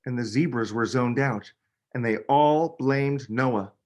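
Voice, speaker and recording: A man, a children's book author, reading a picture book aloud with expressive, dramatic emphasis. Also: medium pitch, measured pace. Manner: expressive, dramatic delivery with emphasis on key words.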